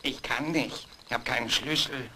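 Meek henchman, sharp-edged voice. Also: mid-range voice, moderate pace, a little hiss or hum. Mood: meek, obedient. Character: submissive henchman Olaf, meek and obedient. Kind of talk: German horror radio play acting.

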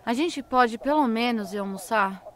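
A woman's voice: on the high side, moderate pace, fairly bright tone, lightly acted. A Brazilian woman acting in an audio drama as a curious, blunt journalist with an informal way of talking.

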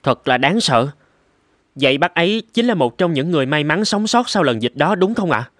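A man's voice: fairly high pitch, very animated, moderate pace, fairly bright tone, dry recording, studio quality. An actor voicing a polite, idealistic young medical man in a dubbed cartoon, respectful and earnest in his delivery. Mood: polite, curious. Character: polite, idealistic young man, doctor's apprentice turned physician.

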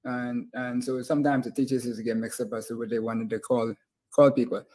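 Middle-aged man, Bahamian accent, medium pitch, casual talk show host, rambling hesitant phrasing. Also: little pitch movement, brisk, a little mellow. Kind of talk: casual interview hosting. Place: indoor room with bookshelves and screen, on a video call. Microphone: video call.

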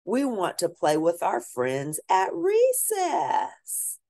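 A woman's voice: medium pitch, wildly swooping pitch, takes it slowly, dark tone, pitched above the performer's own voice, heavily acted. A woman doing whiny grown-ups begging. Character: whiny group of grown-ups wanting school.

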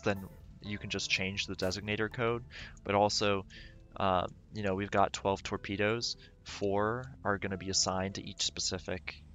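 A man streaming a game, rambling casually and thinking aloud with lots of fillers, his voice going raspy. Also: fairly low pitch, a bit bright. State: voice getting raspy. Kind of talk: casual gameplay livestream commentary.

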